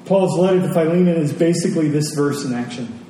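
A man preaching a sermon as a pastor, earnest and emphatic, pausing and repeating phrases for weight. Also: mellow. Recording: echoey hall, distant mic, faint rumbling noise.

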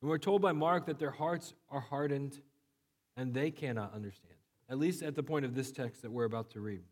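A man preaching a sermon while he has a cold. His voice is low and flat, and he talks conversationally with many fillers and restarts. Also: fairly quick, long reverb tail. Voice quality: low, monotone voice. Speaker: pastor.

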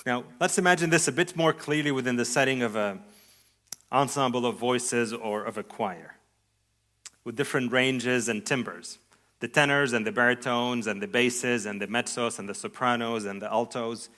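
An adult man delivering a sermon at a mid-range pitch. He speaks earnestly and deliberately, lifting his voice on key phrases and letting sentences fall away softly at the end. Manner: earnest, measured preaching with sweeping rises and soft trailing endings. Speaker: pastor.

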